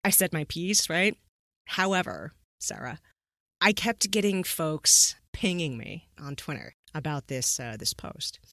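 A woman's voice: low-pitched, animated, unhurried, fairly bright tone, no echo, studio quality. A woman hosting a podcast in a conversational, emphatic way, often checking in with rhetorical questions. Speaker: podcast host.

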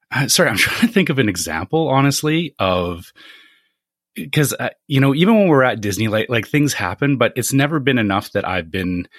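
A man's voice: mid-range voice, dry recording, clean studio sound. A man in his thirties co-hosting a casual podcast, hesitant and searching for words as he talks. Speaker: podcast co-host.

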